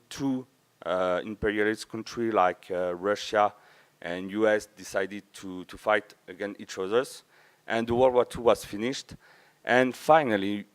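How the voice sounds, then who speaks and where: A man with a French accent and a clear, smooth, fairly low voice presents at a conference. A native French speaker, he talks slowly and haltingly, repeating phrases as he looks for words. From France. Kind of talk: informal conference presentation in non-native English. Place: large auditorium with a few listeners, speaker at the front.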